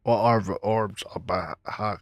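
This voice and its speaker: A man giving casual gameplay commentary in short, drowsy, low-key asides. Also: a little slow, dry recording. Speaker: video game player and commentator.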